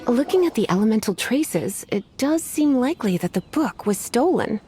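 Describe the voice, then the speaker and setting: A languid, teasing witch librarian from a fantasy game. Her voice sits somewhat high and swoops lightly as she talks. Faint game music plays behind her. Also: sing-song, dark tone. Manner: teasing, unhurried, calls others sweetie and cutie. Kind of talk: game voice acting.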